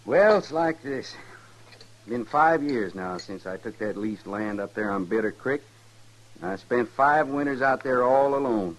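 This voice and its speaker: An actor playing a lonely, stubborn frontier rancher with a rural Western American accent and folksy drawl, in a radio drama. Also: male voice, mid-range voice, lively intonation, a little slow, close to an everyday voice, low-quality recording. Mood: earnest, smitten. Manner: folksy, plain-spoken drawl. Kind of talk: old-time radio Western drama acting.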